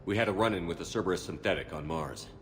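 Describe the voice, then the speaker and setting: An actor playing a decisive male military commander in a video game cutscene, speaking in brisk, direct orders. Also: sits low, long reverb tail, a little hiss or hum, lightly acted. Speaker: ship's commander.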